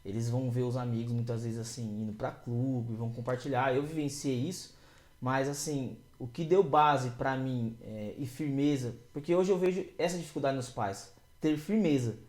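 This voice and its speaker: A man's voice: medium pitch, moderate pace, darkish tone, reverberant. A man from Brazil, a pastor hosting a church podcast, asking long winding questions in an earnest way. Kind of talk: casual podcast interview.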